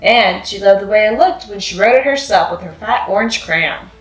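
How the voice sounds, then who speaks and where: A woman narrating a children's read-aloud as host, with expressive, deliberate delivery for children. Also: medium pitch, echoey room, faint music behind, poor audio. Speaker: storybook reader, channel host.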